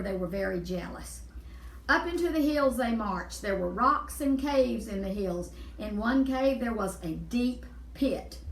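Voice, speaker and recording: A woman storyteller reading a Bible story aloud for children, explaining and repeating words for emphasis. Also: medium pitch. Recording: echoey room, distant mic.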